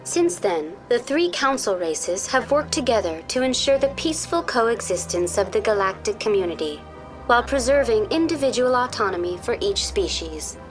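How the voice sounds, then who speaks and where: Casting: actress playing courteous female AI tour guide for a sci-fi game, high pitch, formal scripted delivery, music underneath. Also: animated, normal speed, lightly acted. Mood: polite, informative. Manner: formal, courteous, precisely worded. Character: polite female virtual intelligence tour guide, calm and programmed. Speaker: virtual guide. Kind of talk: scripted informational video game dialogue.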